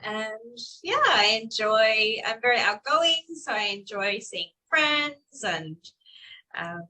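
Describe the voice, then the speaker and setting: Young Australian woman with a South African tinge, bright forceful voice, easygoing and laughing guest on a video call. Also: fairly high pitch, lively intonation, takes it slowly, low-quality recording. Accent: Australian with South African influence. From Perth, Western Australia. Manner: chatty, laughs often, frequent fillers. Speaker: interview guest. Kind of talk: casual conversational interview.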